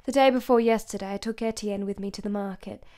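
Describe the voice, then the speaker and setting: A yearning, tender period wife and mother, played by a woman in a radio drama. Her voice is mid-pitched. She delivers formal, intimate letter prose as a monologue. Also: steady tone, fast talker, dark tone, close to an everyday voice. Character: Clara, tender, yearning married young mother.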